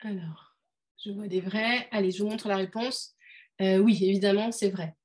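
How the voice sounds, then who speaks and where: A woman runs an online workshop over a video call. She explains in a loose, conversational way, pausing often with fillers and restarting her sentences. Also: medium pitch, steady tone, darkish tone. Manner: hesitant, many fillers, conversational. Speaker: workshop leader.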